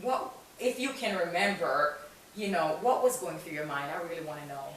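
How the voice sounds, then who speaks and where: A woman's voice: fairly low pitch, animated, normal speed, fairly bright tone, slight background noise. A woman hosting a talk show in a conversational way, supportive, inquisitive and emphatic. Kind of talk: conversational interviewing. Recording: very echoey room, distant mic.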